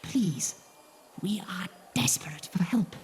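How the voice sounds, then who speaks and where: A man voicing a grave, formal, pleading horned alien in an audiobook, with a soft, breathy delivery. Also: high voice, slow pace, whispered, pitched above the performer's own voice. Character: grave, desperate horned alien named Yoon seeking help. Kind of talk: audiobook character voice.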